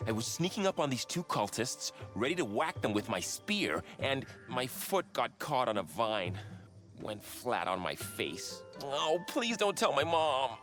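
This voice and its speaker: An earnest young male warrior in a cartoonish game, sheepish and bumbling. His speech is lively and expressive over faint music. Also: mid-range voice, unhurried. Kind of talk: animated video game cutscene acting.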